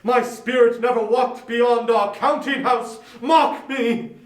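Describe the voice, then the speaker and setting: An actor playing the tormented ghost of a dead businessman in a dramatic reading, declaiming in drawn-out, anguished remorse. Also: extremely high pitch, fairly even intonation. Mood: mournful, weary. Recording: echoey room sound.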